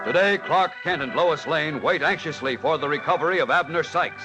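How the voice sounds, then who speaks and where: An actor as the narrator of a 1940s radio adventure serial, suspenseful and emphatic. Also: male voice, on the high side, fairly quick, lightly acted, poor audio. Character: dramatic male adventure serial announcer. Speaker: announcer and narrator. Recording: old radio recording, loud music underneath.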